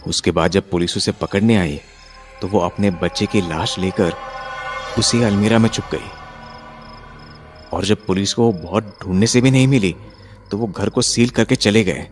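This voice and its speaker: A man with a deep voice narrates a horror tale as if he lived it. He builds suspense with drawn-out, dramatic pauses. Music plays behind him. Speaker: narrator of a horror story, voicing its main character. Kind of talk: dramatic first-person horror storytelling.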